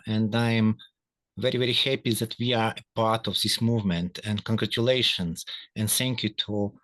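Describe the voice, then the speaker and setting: A man giving short, halting congratulations over a video call. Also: on the low side, fairly bright tone, no room sound.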